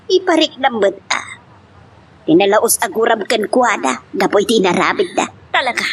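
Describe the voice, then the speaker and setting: A woman's voice: on the high side, a bit bright. A woman with an Ilocano accent playing an emotional mother and wife in a family love drama. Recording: clear background noise.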